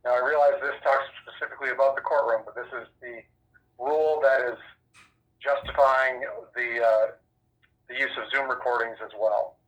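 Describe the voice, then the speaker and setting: An American man speaking as an official over a phone line, giving measured, firm, deflecting answers to press questions. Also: medium pitch, steady tone. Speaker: sheriff's office representative. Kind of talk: formal answers to a press query.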